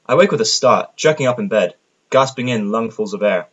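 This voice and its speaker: A man's voice: fairly low pitch, lively intonation, brisk, a bit bright, breathy edge, highs cut off. A man narrating scripted first-person fiction as the storyteller of an audio drama.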